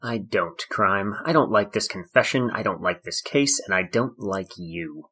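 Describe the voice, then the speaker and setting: An actor playing a weary, dry-witted male detective in an audio drama, speaking in a stern, deadpan way. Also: on the low side, moderate pace, husky, heavily acted.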